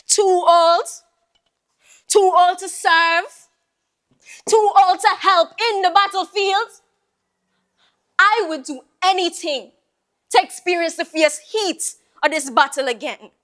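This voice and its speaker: A woman's voice: extremely high pitch, fairly bright tone, full character voice. A woman performing a theatrical monologue as a proud, weary old Jamaican Creole woman, lamenting and wistful, full of rhetorical questions and sighs. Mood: indignant. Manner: slow, lamenting, rhetorical, with sighing exclamations.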